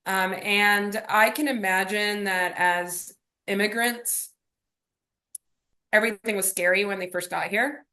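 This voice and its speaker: This is a woman from Miami whose first language is Spanish. Her voice is bright and forward at a middle pitch. She speaks as an interview guest, reflecting on her own story and punctuating it with small rhetorical questions. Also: fairly even intonation, unhurried. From Miami, USA.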